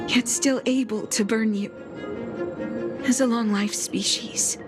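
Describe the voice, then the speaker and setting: Slow, solemn woman alchemist. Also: a little high. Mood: solemn, stern. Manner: measured, formal, solemn delivery with deliberate pauses. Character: blind woman chief alchemist, long-lived, stoic, quietly bitter. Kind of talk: video game story dialogue.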